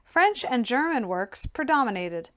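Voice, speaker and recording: A woman's voice: on the high side, big pitch swings, a little slow, fairly bright tone. A woman reading literary fiction aloud as scripted audiobook narration. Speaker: audiobook reader.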